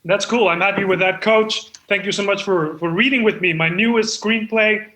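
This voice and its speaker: A man's voice: high voice, normal speed, pushed and bright. A man playing a deluded, eager amateur screenwriter who hosts a comedy show in an effusive, self-important manner. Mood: effusive, polite. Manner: effusive, self-important, stumbling when off script. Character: deluded, eager amateur screenwriter hosting his own reading. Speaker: host. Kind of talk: comedic monologue and mock talk-show hosting. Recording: roomy echo.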